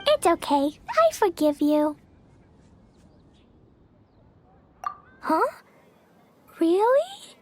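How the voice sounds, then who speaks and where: Tiny, very high-voiced little girl. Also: sing-song, slow pace, heavily acted. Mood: puzzled, innocent. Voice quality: small childlike voice. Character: very young little girl, sweet, earnest and easily upset. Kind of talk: video game character acting.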